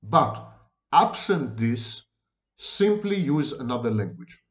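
A man's voice: mid-range voice, very animated. Emphatic man in a roomy room. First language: Hebrew. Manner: deliberate, emphatic, gesturing, lists many near-synonyms. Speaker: lecturer on psychology. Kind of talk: lecture-style monologue to camera. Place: home room indoors. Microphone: webcam at desk. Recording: roomy, dull webcam audio.

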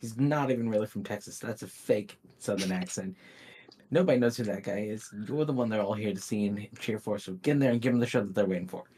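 A man's voice: lowish voice, fast, warm tone, slight background noise. A man playing in a tabletop game, voicing his coach character in a casual way. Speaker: player.

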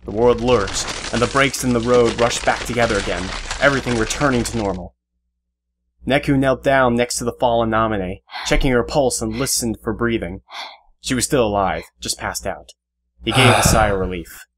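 A man's voice: mid-range voice, moderate pace, noisy background. A man narrating a scripted fantasy story as an audio drama narrator. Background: guitar music.

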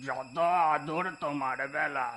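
Guy doing a whiny jealous cartoon donkey, bright highish voice, always complaining, some noise behind. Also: level tone. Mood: aggrieved. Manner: whiny, complaining, drawn-out delivery. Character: aggrieved, jealous working donkey, older than the dog. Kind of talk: scripted cartoon voice acting.

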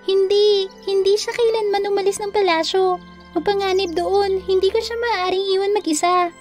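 A woman acts out an earnest young cartoon princess, determined and pleading. The voice is very high and girlish in pitch, with music behind it. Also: steady tone, moderate pace. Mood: worried, determined. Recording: music under the voice.

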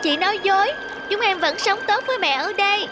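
A woman voicing a small, innocent young salmon child, clingy and pleading, in an animated fairy tale. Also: extremely high pitch, a little fast, noisy background. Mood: insistent.

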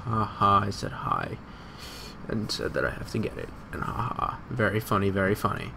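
A man's voice: lowish voice, huge pitch swings, normal speed, gravelly, noisy background. A man doing casual video game commentary as a let's player, informal, rambling and sweary, on a desktop microphone. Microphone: USB desktop microphone.

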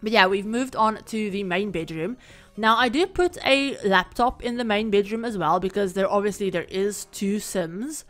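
A woman recording a casual, rambling voiceover for a home-building game video, her voice a little croaky from being sick. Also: medium pitch, normal speed, a bit bright, soft background music. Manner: rambling, chatty, many fillers. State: croaky from illness. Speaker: Sims build creator. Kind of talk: casual voiceover over gameplay.

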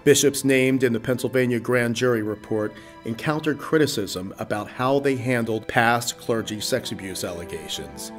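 A man reads scripted news narration in a steady, even way. Faint music plays beneath his voice. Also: medium pitch, moderate pace, hardly any pauses.

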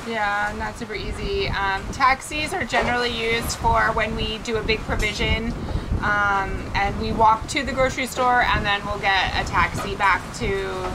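A woman chatting casually on a sailboat, warmly enthusiastic and quick to agree. Her voice sits in an ordinary middle pitch. Wind and boat noise are clearly audible around her. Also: steady tone. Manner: upbeat, chiming in with agreement and enthusiasm. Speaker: sailing vlog co-host. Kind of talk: casual chat. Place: aboard a sailboat.

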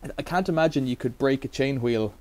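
Middle-aged man, relaxed and rambling, wry asides, cluttered bike workshop, camera held close. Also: medium pitch, fast talker. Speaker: bike repair vlogger. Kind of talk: casual how-to demonstration.

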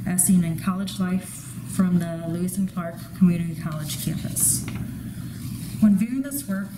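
A young woman reads her artist statement in a careful, even way, now and then restarting a word. Her mid-low voice sits in an echoing gallery room with clear background noise. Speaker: photography student. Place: indoor art gallery.

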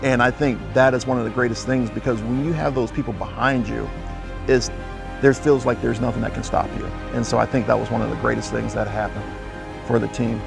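A man's voice: mid-range voice, steady tone, brisk, creaky, music in the background. A man talking in a documentary interview.

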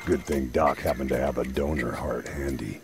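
An actor playing a gruff, world-weary pirate in a video game, gravelly, deadpan and reluctant. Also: male voice, a bass voice, moderate pace, soft background music. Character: gruff, world-weary male pirate who craves quiet. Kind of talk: animated video game dialogue.

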